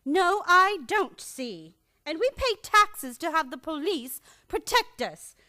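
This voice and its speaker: A woman's voice: extremely high pitch, big pitch swings, slow pace, bright, forceful tone, heavily acted. A woman playing a prim, genteel, batty old maiden aunt in a live old-time radio play. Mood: fussy, insistent. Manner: prim, matter-of-fact about murder.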